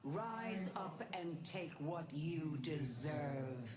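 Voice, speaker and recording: A woman's voice: deep voice, animated, a crowd in the background, full character voice. A woman voicing an imperious, sinister goddess-like matriarch in a video game, theatrical and commanding, delighting in cruelty. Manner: slow, theatrical, grandly commanding. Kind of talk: video game character voice lines. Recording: roomy, echoing game voice audio.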